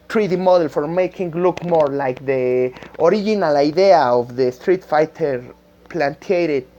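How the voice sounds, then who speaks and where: A woman with a Spanish-speaking accent rambles informally in a lowish voice. She takes her time, stopping to hunt for words and doubling back on phrases. The recording is dull and lo-fi. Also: darkish tone. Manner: halting, searching for words, repeating phrases. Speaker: amateur game creator. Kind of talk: unscripted rambling vlog talk. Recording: dull lo-fi microphone sound.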